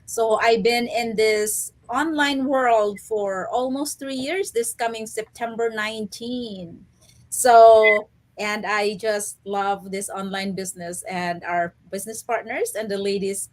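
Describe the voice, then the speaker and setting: A woman's voice: mid-range voice, slow pace. A woman introduces herself in a casual online chat. Her voice sits in a mid range. She sounds friendly and grateful. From Philippines. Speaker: co-host.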